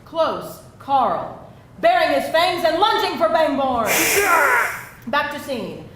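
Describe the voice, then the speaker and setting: In a very echoey venue with faint background noise, a woman narrates a screenplay. She reads stage directions at a live table read in a fairly high voice. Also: big pitch swings, slow. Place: indoor live reading before an audience.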